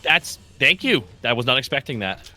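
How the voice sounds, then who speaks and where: Male player, bright sharp voice, animated pitch, jokey side commentary, music behind. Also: moderate pace. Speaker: player in a tabletop game.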